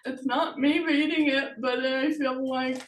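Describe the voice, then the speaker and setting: Woman w/ slow hesitant way of talking, casual online chat, really echoey and kinda distant. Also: sits high, steady tone. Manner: hesitant, halting, trailing off. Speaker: author hosting a promotional chat. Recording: echoey room, distant from mic.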